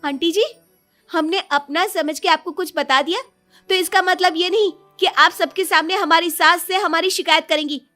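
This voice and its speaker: Casting: actress as a tattling young daughter-in-law for cartoon family drama, very high, indignant, whiny. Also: female voice, fairly even intonation, normal speed, bright, forceful tone, soft background music.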